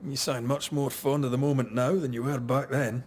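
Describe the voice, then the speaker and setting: An actor playing a steady, dryly witty ghost hunter in a video game, speaking in an understated, earnest way. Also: male voice, mid-range voice, normal speed, slight background noise, lightly acted. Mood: dryly teasing. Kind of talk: cinematic game dialogue.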